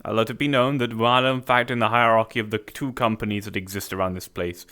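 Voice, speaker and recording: A man playing a smooth, suited businessman. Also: on the low side, steady tone, quick pace, pushed and bright. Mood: suave formal. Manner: formal, polished, faintly sly. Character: suave suited businessman with groomed hair. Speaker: streamer roleplaying a character. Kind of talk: improvised social-VR roleplay.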